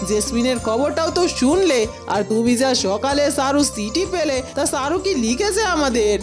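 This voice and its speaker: An affectionate fairytale mother played by a woman speaks in a high voice. She is homely, doting and coaxing, over clearly audible music. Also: expressive, normal speed. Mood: fond, delighted. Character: affectionate, doting village-born mother, middle-aged wife.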